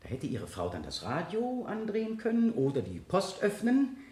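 An actor playing a stern, sardonic prosecutor in a German radio play, cross-examining with precise, insistent, pointed questions. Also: male voice, sits high, wildly swooping pitch, normal speed, darkish tone. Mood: pointed, insinuating. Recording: roomy 1970 studio radio play sound.

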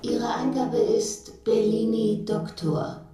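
A woman's voice: fairly high pitch, steady tone. A woman playing a polite, alluring library computer that reads out information formally in a radio drama. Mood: formal, measured. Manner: formal, measured, courteous. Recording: echoey, music behind.

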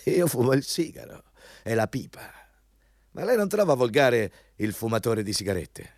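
A pompous, bullying male boss in a radio drama, with a very animated, swinging intonation. He hectors and interrogates like a fanatic for company rules, in a mid-pitched voice. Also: normal speed. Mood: disdainful, probing.

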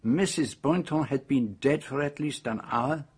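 Unbending detective, radio drama, formal, probing, deliberate, a little rough-edged. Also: male voice, mid-range voice, darkish tone. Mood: calm, insistent. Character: shrewd, unbending male detective questioning suspects.

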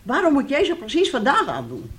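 An actress in a radio drama playing a bitter, middle-aged wife who nags with reproach and theatrical sarcasm. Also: female voice, sits high, huge pitch swings, a little fast. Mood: reproachful. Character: bitter, nagging, mocking wife of a failed composer.

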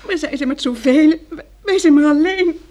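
An actress playing an anxious, tender young woman surviving a catastrophe in a radio drama, emotional and halting. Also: high-pitched, dark tone, close to an everyday voice. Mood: frightened, tearful. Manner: emotional, halting, repeating words.

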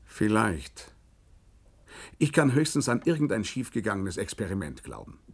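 An actor playing a cautious, thoughtful investigator, deliberating sceptically in a radio play. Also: male voice, on the low side, big pitch swings, normal speed, vocal fry, noisy background. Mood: pondering. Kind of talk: German radio play acting.

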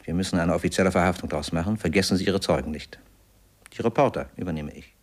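Smooth mob boss, really deep voice, measured n condescending, german radio crime play. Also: male voice. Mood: smooth, persuasive. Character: smooth, calculating male gangland boss proposing deals.

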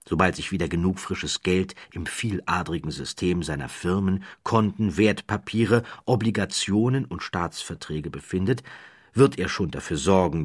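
A man reading a documentary audiobook in a measured, dramatic storytelling manner, building rhythmic lists. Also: low voice, slightly rough, no room sound, studio quality. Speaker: audiobook narrator. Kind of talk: scripted documentary narration.

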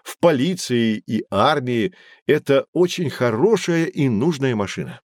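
A man's voice: medium pitch, animated, measured pace, dry recording, clean studio sound, lightly acted. A man playing a learned, patient guide who explains things to children in formal, didactic phrases in an educational audio drama. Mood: explanatory.

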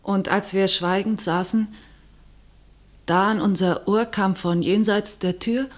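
An actress playing a cautious, fearful sister who recounts wartime terror in declamatory rhymed verse for a radio drama. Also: female voice, medium pitch, fairly even intonation, takes it slowly, slight background noise, close to an everyday voice. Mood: grave narration. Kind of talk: theatrical verse radio drama, narrating and in dialogue.

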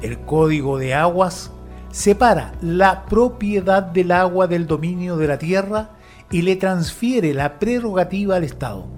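A narrator with a mid-pitched voice delivers scripted, factual narration. Music plays clearly underneath throughout. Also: darkish tone.

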